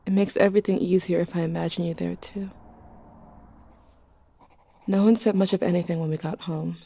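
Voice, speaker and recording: A young woman plays a grieving teenager with a slightly low, mellow voice. The delivery is intimate and confessional, with a wry, anxious edge, like diary entries narrated in an audio drama. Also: steady tone, moderate pace, gravelly. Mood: subdued, tender. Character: grieving, anxious teenager writing to a dead sibling.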